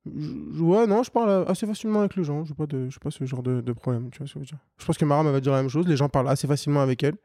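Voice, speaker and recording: A young man chatting as a guest, quick and loose, often laughing and trailing off. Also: on the high side, warm tone, no room sound, studio quality. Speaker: guest, co-founder of a street art venue. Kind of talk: casual chat.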